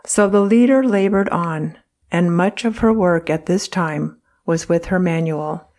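Slow, low-voiced woman narrating. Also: a little mellow. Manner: measured, earnest reading. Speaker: narrator reading a historical account. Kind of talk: scripted narration.

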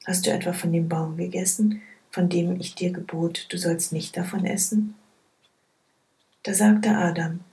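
A woman reading Bible passages aloud in a soft, hushed, measured way. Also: fairly low pitch, little pitch movement, rough recording. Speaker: scripture reader. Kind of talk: slow, quiet Bible reading.

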